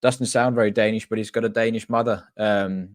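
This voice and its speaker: A low-voiced man chats as a podcast co-host and keeps pausing on ums and restarts. The recording sounds dry and close. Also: steady tone, dry recording. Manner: hesitant, many ums and restarts. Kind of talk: casual chat.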